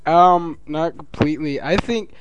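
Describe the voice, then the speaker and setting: A man's voice: on the high side, a little slow, faint music behind, poor audio. A young man in college, born in the United States and raised in the Philippines, chatting easily as a podcast guest and laughing often. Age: young adult. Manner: easygoing, rambling, laughs often. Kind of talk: casual podcast interview.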